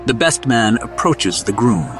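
A man's voice: mid-range voice, big pitch swings, unhurried, music in the background. A man giving dramatic scripted narration as the narrator of an audio drama.

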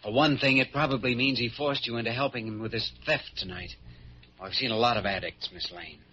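A man's voice: medium pitch, brisk, bright, forceful tone, natural voice. A man playing an earnest, compassionate young hospital doctor with a persuasive manner in old-time radio drama. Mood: earnest, pleading. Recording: muffled, hissy vintage radio recording.

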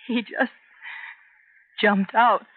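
Slow, horror-struck radio secretary. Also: female voice, a little high, steady tone. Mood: horrified. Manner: halting, gasping, shocked. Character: horror-struck female secretary, shaken and faltering. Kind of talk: old-time radio drama acting. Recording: tinny, hissy old radio recording.

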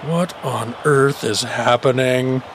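A man's voice: medium pitch, slow pace, background noise, natural voice. An actor in an audio drama playing a bewildered, reluctant father, hesitant and incredulous in his delivery. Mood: baffled. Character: bewildered, reluctant everyman dad in an arena.

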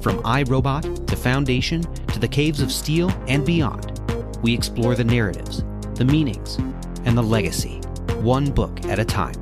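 A man delivers scripted promotional narration as a podcast host. His voice sits at a middling pitch, with music playing clearly behind him. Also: normal speed.

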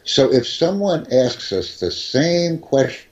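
A man teaching English conversation, speaking slowly and deliberately and repeating his key points. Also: mid-range voice, sing-song, highs cut off. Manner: slow, carefully enunciated, repeats key phrases. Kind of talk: slow, deliberate teaching talk.

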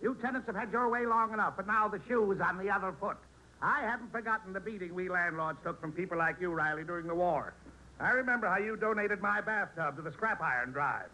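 Sharp, high-voiced, vindictive landlord. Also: male voice, fast talker. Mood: gloating, resentful. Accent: American. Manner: officious, gloating, precise. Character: vindictive, smug landlord, officious and grudge-holding. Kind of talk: old-time radio sitcom acting. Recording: noisy vintage radio recording.

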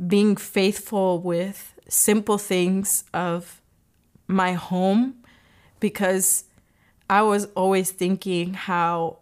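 A young woman hosting a podcast, reflecting on her faith in an earnest, gently emotional way, her voice catching now and then. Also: lowish voice, clear, resonant tone. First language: English. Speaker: podcast host.

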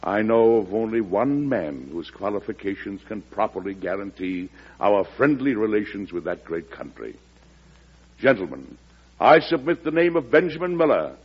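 An actor playing a shrewd, folksy American president with wry humour, speaking deliberately and oratorically in 1940s radio drama style. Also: male voice, on the low side. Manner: oratorical, deliberate, chuckling in private talk. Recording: dull old radio recording, husky sound.

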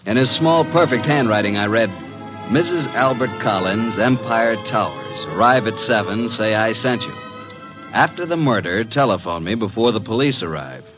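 An actor playing a breezy male detective's assistant in an old radio drama, with a wry, smooth-talking manner. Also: fairly low pitch, animated, moderate pace, music in the background, lightly acted, rough recording. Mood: wry narrating. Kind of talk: 1940s-50s radio drama acting and narration. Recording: vintage radio drama recording.

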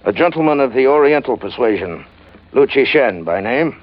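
An actor playing a pompous, florid, hard-up gentleman of shady dealings in an old-time radio drama, hemming as he speaks. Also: mid-range voice, animated, normal speed, lightly acted. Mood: smooth, scheming. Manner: pompous, flowery, hesitant hemming. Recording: dull, band-limited old radio recording.

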